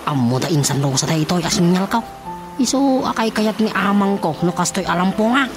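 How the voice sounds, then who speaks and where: An actor playing a naive, earnest young son with an Ilocano accent in a radio comedy-drama. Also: male voice, high voice, lively intonation, fairly quick. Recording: prominent music under the dialogue.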